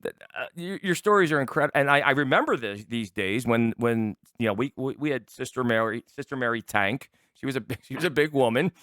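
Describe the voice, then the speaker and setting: A man's voice: medium pitch, animated, brisk, few pauses, a bit bright, dry recording, clean studio sound. A man hosting a sports talk show in casual interview chat, chatty and often stumbling over and repeating his words.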